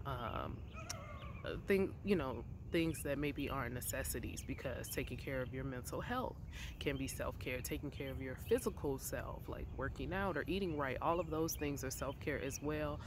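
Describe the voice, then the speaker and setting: A woman's voice: very deep voice, lively intonation, normal speed. A young adult woman vlogger, congested from allergies, chatting casually to camera in a backyard with a rambling, conversational manner and many fillers. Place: outdoors in a backyard by a wooden fence. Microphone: phone or camera at arm's length. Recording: outdoor noise in the background.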